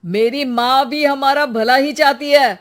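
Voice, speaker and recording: A woman voicing a short-tempered young husband in a cartoon story, given to loud, exasperated outbursts. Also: sits high, fairly even intonation, a little slow, pitched above the performer's own voice. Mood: irritated.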